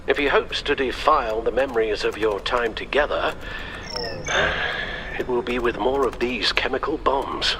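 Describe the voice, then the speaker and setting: An actor playing a loyal, worried butler and confidant in a video game, speaking formally and solemnly with careful wording. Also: male voice, medium pitch, moderate pace, background noise, lightly acted. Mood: concerned. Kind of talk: dramatic video game acting.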